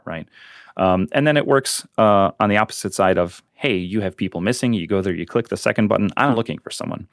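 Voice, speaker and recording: Deep-voiced man, chatty and plainspoken. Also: gravelly, no echo, studio quality. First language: Ukrainian. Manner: explains fast and plainly with fillers and restarts. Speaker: guest, volunteer on a missing-persons website. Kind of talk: casual interview talk.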